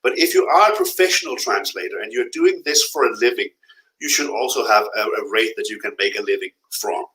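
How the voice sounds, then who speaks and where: A man's voice: fairly low pitch, animated, a little fast, bright, forceful tone. Blunt middle-aged man in an echoey room. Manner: frank, blunt warnings with repeated emphasis. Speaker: guest, freelance translator. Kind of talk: advice in a live interview.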